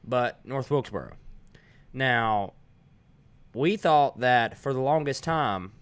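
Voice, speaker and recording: A congested man co-hosting a live podcast, explaining things in an easygoing, measured casual chat. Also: mid-range voice, lively intonation, clean tone. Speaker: podcast co-host.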